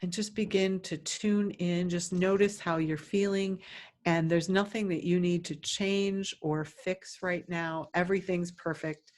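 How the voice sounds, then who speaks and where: A woman leading a guided meditation as a teacher, in a gentle, soothing, instructive way with long pauses between phrases. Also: lowish voice, steady tone.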